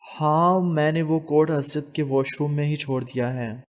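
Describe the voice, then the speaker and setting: A man voicing a serious, troubled and reserved young man in an audio novel, speaking gravely and reluctantly. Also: fairly high pitch, steady tone, a little slow, natural voice. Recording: long hall reverb on voice.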